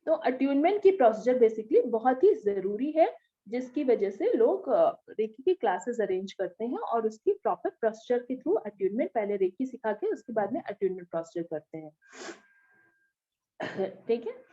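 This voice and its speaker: A woman teaching an online class with a slightly hoarse throat, explaining step by step and often checking that her students follow. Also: on the high side, sing-song, moderate pace, warm tone, dull audio. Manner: explanatory, often asks "ठीक है?" to check understanding. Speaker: Reiki teacher.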